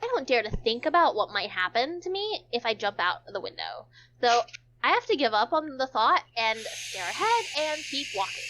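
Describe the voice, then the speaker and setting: A woman's voice: high voice, fairly bright tone, low-quality recording. A woman reading visual novel text aloud for a stream, stumbling now and then and dropping in casual joking asides.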